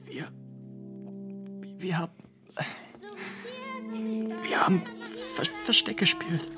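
A performer voicing a troubled, defensive young boy with a halting manner in a radio drama. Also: mid-range voice, music in the background, close to an everyday voice. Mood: distressed, halting. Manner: halting, stammering when upset.

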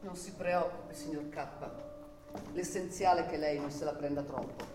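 A woman's voice: low voice, fairly even intonation, moderate pace, natural voice. Anxious actress as a landlady, roomy and distant. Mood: timid, solicitous. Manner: hesitant, solicitous, stammering repetitions. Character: anxious, deferential, gossiping female boarding-house landlady. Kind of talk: Italian radio theatre acting. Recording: roomy, distant from mic, music behind.

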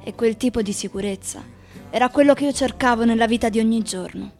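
Woman as a high-voiced sardonic barfly writer. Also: a little fast, darkish tone, soft background music, close to an everyday voice. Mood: wry, reflective. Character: sardonic bar-haunting writer, male, restless and defiant. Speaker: stage actress performing a literary monologue. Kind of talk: theatrical radio reading of poetry and memoir.

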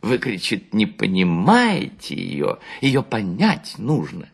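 A man playing a chatty, gullible husband in a story reading, animated and scoffing as he gossips. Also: on the low side, slow pace, creaky, husky, full character voice. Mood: mocking excitement. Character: chatty, gullible husband mockingly quoting his wife's admirer.

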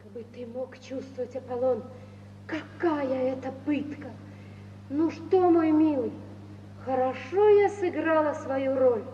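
In a muffled, echoing old radio-play recording, an anguished, meek wife. Her voice is extremely high, with a pleading, melodramatic delivery full of devotion. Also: measured pace, mellow, a little hiss or hum. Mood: tender. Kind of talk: 1950s Russian radio theatre acting.

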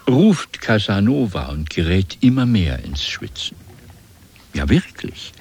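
A man narrating a literary radio play in an expressive, dramatic storytelling manner. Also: sits low, slow pace, slightly rough.

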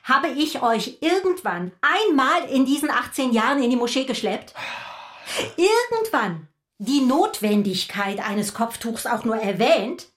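A woman's voice: high-pitched, sing-song, measured pace, a bit bright, exaggerated voice. An actress playing an exasperated, indignant middle-aged mother in a radio drama, dramatic and reproachful. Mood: reproachful, bitter. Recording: echoey room.

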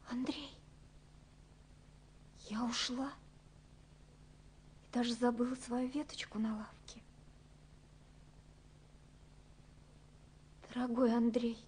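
A woman's voice: high voice, mellow, a little hiss or hum. An actress playing an anxious, romantic young postwoman in a radio drama, reading her letters aloud in a wistful, tremulous manner. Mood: hushed heartache. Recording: 1979 radio recording with music. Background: music.

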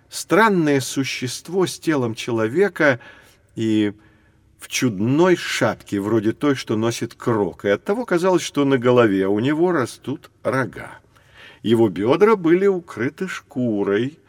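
A professor type in an educational audio story, explains stuff slowly and deliberately like telling a tale. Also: male voice, medium pitch, no room sound. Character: learned male professor guiding children through prehistory. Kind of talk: scripted educational audio drama.

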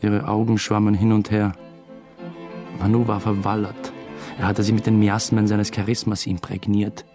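An actor playing an uneasy, wry first-person narrator in a radio drama. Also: male voice, on the low side, flat delivery, normal speed, music in the background, lightly acted. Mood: wry, brooding. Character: uneasy, wry male narrator, a jealous hapless suspect.

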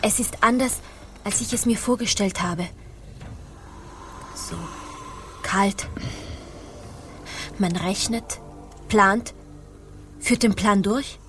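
A woman playing a restless, dutiful pirate officer in a radio play, speaking in clipped, formal, brooding phrases. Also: fairly high pitch. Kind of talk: German radio play acting.

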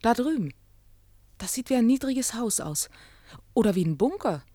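Husky, inquisitive adventuress. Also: female voice, on the high side, very animated, normal speed, mellow, dry recording. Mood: curious, puzzled. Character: curious, outspoken female adventurer with biology training. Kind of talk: German science fiction radio drama acting.

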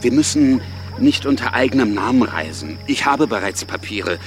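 A man's voice: medium pitch, animated, normal speed, faint music behind, lightly acted. A man acting a pragmatic, commanding revolutionary leader in a radio drama. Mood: persuasive.

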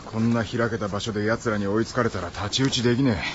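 Man acting a gruff, low-voiced rough adventurer. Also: fairly even intonation, fast talker, noisy background, lightly acted. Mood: uneasy. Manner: coarse, blunt masculine speech. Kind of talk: Japanese radio drama acting. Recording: dull band-limited audio under loud music.

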